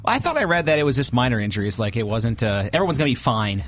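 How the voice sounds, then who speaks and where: A man co-hosting a morning radio show, playful and quick to laugh at himself. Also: medium pitch, very animated, a bit bright, faint music behind. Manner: giggly, playful, self-mocking. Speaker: radio co-host. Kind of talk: casual morning radio banter.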